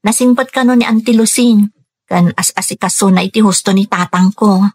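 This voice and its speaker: A husky young Ilocano daughter, earnest. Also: female voice, mid-range voice, fairly quick, darkish tone. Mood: wistful, earnest. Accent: Filipino, Ilocano. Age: young adult. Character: young Filipina overseas worker, dutiful burdened daughter. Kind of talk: Ilocano radio drama acting. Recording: music bed under the voice. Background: music.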